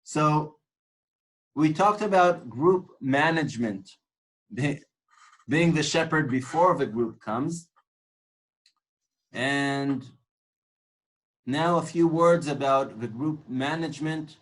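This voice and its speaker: Man, Hebrew speaker, average pitch, tour guide instructor, informal lecturing, reflective, repeats phrases for emphasis. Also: low-quality recording.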